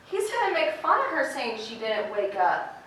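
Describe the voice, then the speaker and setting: Heard across a very echoey stage with faint noise, this is a timid sheriff's wife, acted by a woman. Her high voice moves in lively swings while staying polite and hesitant. She sounds dutiful and anxious about rules, as in a live amateur play. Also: moderate pace, recorded from a distance. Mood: uneasy. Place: indoor theater stage, distant microphone.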